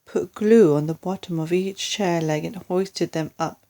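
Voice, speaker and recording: A woman reading a children's story aloud as an expressive narrator, with deliberate, dramatic phrasing. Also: fairly low pitch. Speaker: storyteller, English teacher.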